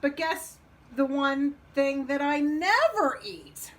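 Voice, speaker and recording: Woman playing a boastful high-voiced hungry wolf. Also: expressive, slow pace, a bit bright, heavily acted. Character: hungry, boastful wolf, fierce yet friendly advisor.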